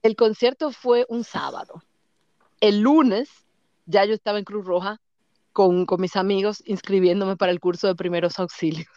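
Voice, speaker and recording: A woman in her fifties with a Dominican Spanish accent, a guest telling lively stories and laughing often. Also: medium pitch, moderate pace, dry recording, muffled recording. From Dominican Republic. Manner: lively, laughs often, acts out other people's lines. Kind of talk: casual storytelling interview.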